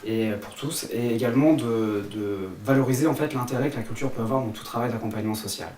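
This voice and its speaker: A man explaining in a measured way in a documentary interview. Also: mid-range voice, darkish tone, slight background noise. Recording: distant roomy mic under guitar music. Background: plucked guitar music.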